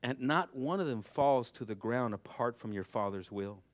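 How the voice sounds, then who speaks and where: Preacher swinging from shouts to hush. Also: male voice, medium pitch, animated, moderate pace, dry recording. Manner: swings from shouted exhortation to hushed, intimate delivery. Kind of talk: sermon.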